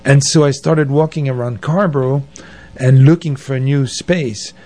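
An older man telling anecdotes as an interview guest, in a halting, conversational way. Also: mid-range voice, steady tone, a little mellow. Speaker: interview guest, arts organizer. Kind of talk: conversational reminiscing.

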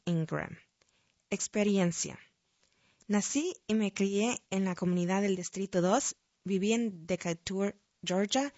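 The woman you would hear reading an official printed guide aloud for an audio edition, formal and measured, in a small dead-sounding booth with slightly dull sound. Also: on the low side, a little mellow, no echo. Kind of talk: scripted narration, read-aloud questionnaire.

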